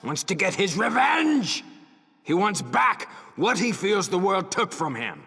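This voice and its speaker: An actor playing an intense, brooding man in a video game, speaking with slow, emphatic drama. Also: high-pitched, very animated, vocal fry, sharp tone, breathy edge, exaggerated voice. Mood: intense, bitter. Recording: long reverberant hall.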